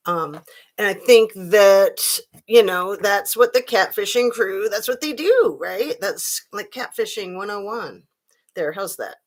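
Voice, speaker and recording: A woman in her fifties with a slightly raspy voice, hosting a casual live-chat commentary stream and greeting viewers between her points, with many fillers. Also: mid-range voice, animated, measured pace. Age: 50s. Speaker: livestream host.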